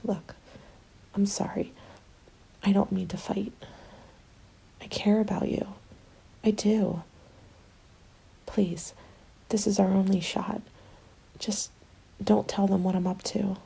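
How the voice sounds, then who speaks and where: A woman playing a starving, bitter former nurse surviving a zombie apocalypse, in a soft, hushed ASMR roleplay that drifts into whispers. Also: mid-range voice, flat delivery, slow pace, pauses often, full character voice, poor audio. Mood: pleading. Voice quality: creaky, soft. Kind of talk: ASMR dramatic roleplay monologue. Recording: music under the voice. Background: music.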